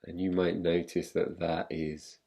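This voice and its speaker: A man guiding a meditation in a calm, gentle, unhurried manner, leaving long pauses between phrases. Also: low-pitched, vocal fry, breathy edge, faint music behind. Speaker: meditation guide. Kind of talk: guided meditation, yoga nidra.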